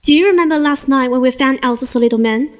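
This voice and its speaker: A woman's voice: high-pitched, quick pace, close to an everyday voice. A young female student playing an earnest, distressed governess in an amateur stage drama. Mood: uneasy. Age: teenager. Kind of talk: amateur stage play acting.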